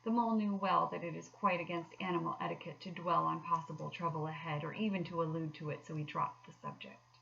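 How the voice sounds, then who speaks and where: A woman's voice: low voice, fairly even intonation, a little fast, creaky, few pauses, a bit bright, far from the mic, highs cut off, a little hiss or hum. A woman in her thirties narrating a children's storybook aloud as a teacher would. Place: small furnished room at home. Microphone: laptop webcam at desk distance.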